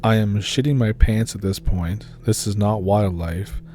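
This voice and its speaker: A man calmly reading scary stories aloud as the narrator of a horror channel. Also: on the low side, a little slow, a little hiss or hum.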